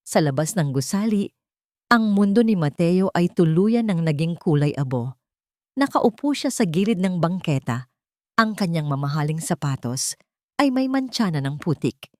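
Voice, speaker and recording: A woman reading a scripted romance drama as narrator, in a measured, solemn storytelling manner. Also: on the low side, a little mellow, dry recording, clean studio sound.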